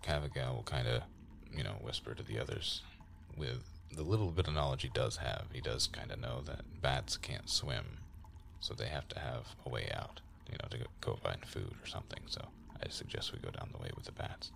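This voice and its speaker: A man with an extremely deep, creaky voice talks through his moves in a tabletop game. He is casual and unhurried, feeling his way through each idea. Faint noise sits behind him. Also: expressive, a bit bright.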